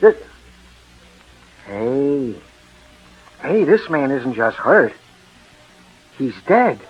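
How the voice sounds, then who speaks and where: A man's voice: mid-range voice, big pitch swings, music in the background. An American man playing a nervous, hesitant witness to a killing, in 1940s radio crime style. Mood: agitated. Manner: hesitant, halting. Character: nervous, hesitant male bystander, a murder witness at the police station. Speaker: radio actor. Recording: muffled old radio broadcast.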